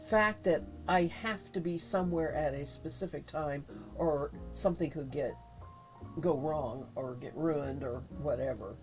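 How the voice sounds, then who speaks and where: A low-pitched woman with a head cold chats casually on her livestream as she spins yarn at home. Her voice is mellow and congested, and she talks in a rambling, halting way with plenty of fillers. Very faint music plays quietly in the background. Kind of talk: casual chat while spinning. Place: home craft room.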